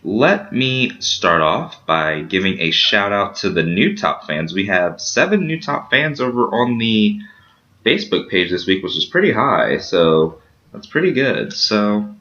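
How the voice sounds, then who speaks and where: A man hosting a casual podcast, rambling through shout-outs and promos with lots of fillers. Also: fairly low pitch, very animated, measured pace. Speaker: podcast host. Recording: dull lo-fi sound.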